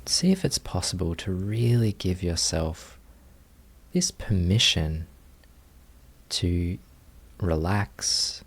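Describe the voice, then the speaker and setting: A man with a low, gravelly-edged voice leads a guided meditation. He speaks very slowly and gently, leaving long pauses between short phrases. His delivery is inviting and unhurried, with fry in the tone. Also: lively intonation. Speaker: meditation teacher.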